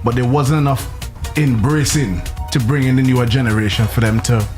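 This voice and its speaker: A man's voice: mid-range voice, a little fast, music in the background. A man who works as a DJ, laying out his argument step by step in a casual panel discussion. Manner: reasoned, builds his argument.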